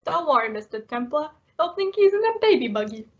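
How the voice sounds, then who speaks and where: A woman's voice: sits high, sing-song, a little fast. A woman playing a nervous, eager male cab driver with stammering, slangy talk in an old-time radio drama. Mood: eager, joking. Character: eager, nervous, slangy male New York-style cabbie. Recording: dull lo-fi audio.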